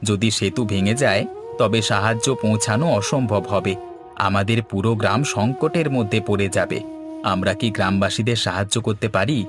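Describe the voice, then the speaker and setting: A man's voice: fairly low pitch, moderate pace, over music, lightly acted. Man acting as earnest village man in a cartoon. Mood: worried. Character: concerned village man urging neighbours to work together. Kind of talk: scripted cartoon dialogue.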